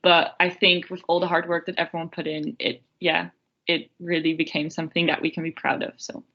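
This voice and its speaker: A woman's voice: lowish voice, flat delivery, fairly quick. A woman giving a casual answer as a guest in a group interview. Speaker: panel guest. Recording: dull, lo-fi audio.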